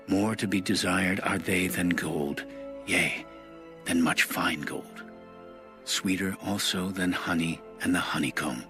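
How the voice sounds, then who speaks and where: A man giving a solemn, formal and measured reading of scripture as an audio Bible narrator. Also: deep voice.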